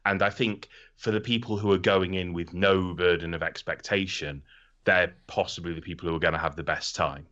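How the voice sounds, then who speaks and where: Deep-voiced man, casual gaming podcast host. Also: steady tone, a little fast, bright, forceful tone, highs cut off. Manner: casually sweary. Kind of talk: casual podcast chat about video games.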